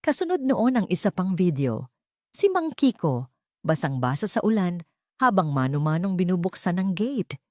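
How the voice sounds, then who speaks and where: Female narrator, low register, dry close sound, solemn and dramatic storytelling delivery. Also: sing-song, moderate pace, a little mellow, no echo.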